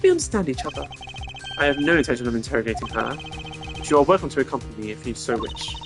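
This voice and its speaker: Streamer as haughty male game prosecutor, measured, formal, coldly logical, animated intonation, background music. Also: medium pitch. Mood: calm, formal.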